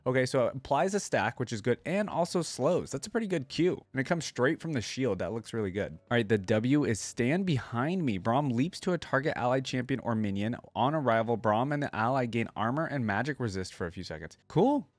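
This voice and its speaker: A man's voice: mid-range voice, expressive, brisk, hardly any pauses, dry recording. A man hosting a reaction video with informal, easily impressed commentary, reading things out and reacting as he goes.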